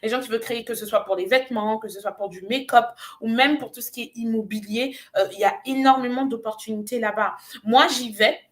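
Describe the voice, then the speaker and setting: A woman from Nigeria pitching her coaching business with earnest persuasion. Her voice sits a little high, and she pauses often with small hesitations as she lays out her offer. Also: steady tone. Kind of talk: promotional pitch on a live show.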